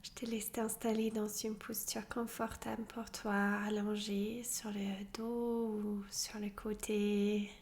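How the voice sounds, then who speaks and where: A woman leading a guided relaxation as a yoga nidra teacher, soothing and unhurried, often in a breathy half-whisper. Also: mid-range voice, level tone, a little mellow, a little hiss or hum. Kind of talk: guided meditation, soft-spoken relaxation instruction.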